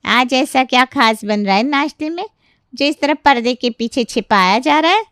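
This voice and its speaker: Demanding, greedy neighbourhood auntie woman puts her on, cartoon tale, fairly high voice. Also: expressive, moderate pace, fairly bright tone, dry recording, clean studio sound. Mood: prying. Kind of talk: children's cartoon story dialogue.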